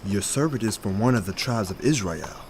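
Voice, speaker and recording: An actor playing a humble, dutiful subject of an ancient kingdom in an audio scripture drama. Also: male voice, on the low side, animated, fairly quick, darkish tone, slight background noise. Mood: respectful.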